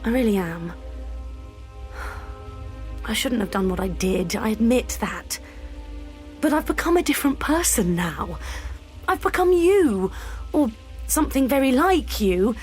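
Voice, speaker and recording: Casting: earnest, emotional young female adventurer in audio drama, highish voice over faint music. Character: two identical young female companions, earnest and bickering.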